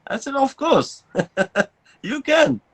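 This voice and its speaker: Hesitant, bright-voiced monk. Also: male voice, very high-pitched, expressive, very slow, gravelly. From Bangladesh. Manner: hesitant, many uh fillers, chuckles at own jokes. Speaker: Buddhist monk. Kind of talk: conversational talk with fillers.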